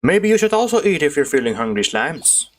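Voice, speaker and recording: Chill girl streamer talking over gameplay, slangy, mid-low-ish voice, faint music behind. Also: very animated, fairly quick. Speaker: streamer playing a video game. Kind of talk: casual gameplay commentary.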